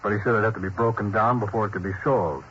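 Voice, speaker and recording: A bitter, grumbling crook voiced by a man in a vintage radio crime play, talking tough slang in a lowish voice. The old broadcast sounds dull and lo-fi with a faint hiss underneath. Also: fairly even intonation, fast talker, fairly bright tone, close to an everyday voice. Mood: sour. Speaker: arrested suspect. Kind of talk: 1950s radio crime drama acting.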